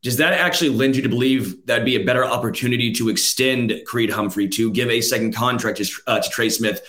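A man's voice: medium pitch, a little fast, a bit bright, roomy sound. A man hosting a sports podcast, asking long rambling questions in a conversational way. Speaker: podcast host. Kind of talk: casual sports podcast chat.

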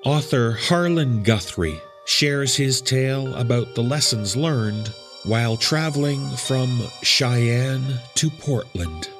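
A man hosting a podcast, reading a scripted announcement in a measured, articulate, polite way. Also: medium pitch, lively intonation, music in the background. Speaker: podcast host and narrator.

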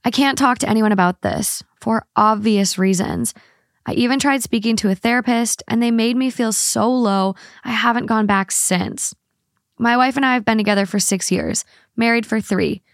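Even-voiced woman reading posts aloud. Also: fairly high pitch, normal speed, dry recording, studio quality. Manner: reads long posts aloud in an even, steady voice. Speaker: podcast co-host.

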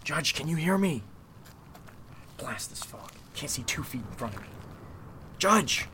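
A man's voice: fairly high pitch, big pitch swings, measured pace, bright, forceful tone, a little hiss or hum, full character voice. An actor playing a tense, protective man in the style of an old-time radio drama. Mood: urgent searching. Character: Clint, tense protective man searching in fog.

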